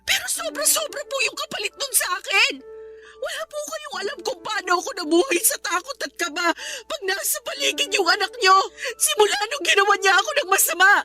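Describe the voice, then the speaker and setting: A woman's voice: extremely high pitch, lively intonation, fairly quick, sharp tone, faint music behind, full character voice. A woman playing a wounded, resentful young niece in a Tagalog radio drama, pleading yet standing firm. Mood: tearful, aggrieved.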